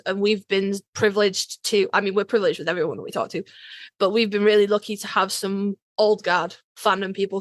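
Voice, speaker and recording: A woman's voice: medium pitch, fairly bright tone, dry recording. A woman co-hosting a podcast in casual fan chat, informal and emphatic, repeating phrases to drive a point home. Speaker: podcast co-host.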